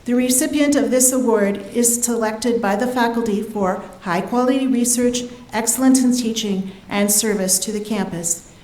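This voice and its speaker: A woman's voice: on the high side, moderate pace, hardly any pauses, a little mellow. A woman formally introducing speakers as host of a ceremony. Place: large auditorium stage. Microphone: podium microphone. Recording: echoey hall, faint noise.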